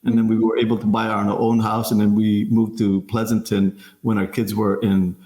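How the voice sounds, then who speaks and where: A man's voice: lowish voice, flat delivery, normal speed, mellow, roomy sound. A man from the Philippines hosting a podcast, asking questions in a chatty way with many fillers. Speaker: podcast host. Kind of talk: casual interview chat.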